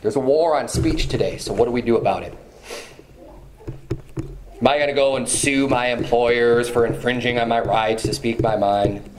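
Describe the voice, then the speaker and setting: A man in his thirties preaching a sermon from a pulpit, emphatic, repeating phrases and climbing in pitch when stirred. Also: normal speed, noisy background. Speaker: pastor. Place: small church hall with banner, indoors. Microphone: far camera, at a pulpit.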